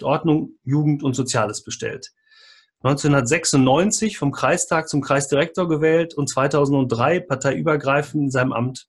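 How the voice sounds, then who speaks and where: A man hosting an interview podcast, moving between scripted presentation and questions, with a husky voice. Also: medium pitch.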